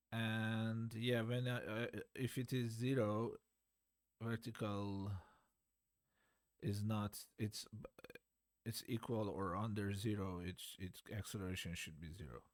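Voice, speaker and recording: Slow, hesitant man. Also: lowish voice, no room sound, studio quality. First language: Farsi. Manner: hesitant, searching for words, self-deprecating. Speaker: beginner game developer. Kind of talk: unscripted coding walkthrough.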